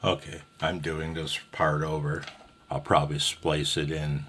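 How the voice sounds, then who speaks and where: A man's voice: very deep voice, flat delivery, measured pace, fairly bright tone, slight background noise. A man giving a casual, rambling amateur radio tutorial in a folksy, self-deprecating, slightly nervous way.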